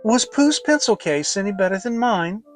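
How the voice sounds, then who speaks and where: An excitable, affectionate little boy from a storybook, acted by a man. His pitch is lifted high and he talks with curious, childlike eagerness. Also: moderate pace, faint music behind.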